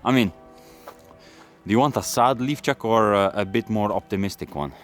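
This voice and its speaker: Vlogger, male, from London, Russian his first language; deep, easygoing, meandering Q&A talk. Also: moderate pace, faint music behind. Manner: relaxed, rambling, with frequent fillers and restarts. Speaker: automotive YouTuber. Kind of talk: casual Q&A chat to camera.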